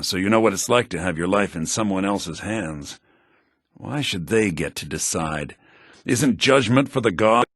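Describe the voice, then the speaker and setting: An actor playing a vengeful, middle-aged rebel leader held prisoner in a video game, brooding and intense. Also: male voice, mid-range voice, vocal fry, sharp tone, slightly rough, no room sound, studio quality, heavily acted. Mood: grim, probing. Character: bitter, vengeful male rebel leader imprisoned in a mine. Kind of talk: video game character dialogue lines.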